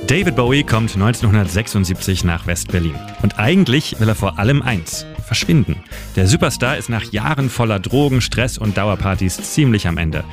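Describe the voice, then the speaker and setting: A man with a fairly low voice narrates a scripted radio feature like a storyteller. A clear guitar music bed plays under his close studio voice. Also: lively intonation, normal speed. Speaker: radio editor and city guide presenting a history segment.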